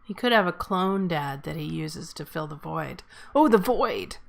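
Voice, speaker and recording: A woman's voice: deep voice, animated, a little slow, vocal fry. A woman hosting a casual group discussion, chatting in an informal, joking way. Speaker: host moderating a script table read. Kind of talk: casual chat.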